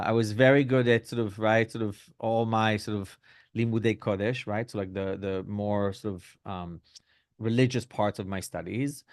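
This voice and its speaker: A middle-aged rabbi from Belgium and Israel answering interview questions conversationally, hedging with lots of fillers and tag questions. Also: male voice, fairly low pitch, clean tone, dry recording, studio quality. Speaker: rabbi, podcast guest.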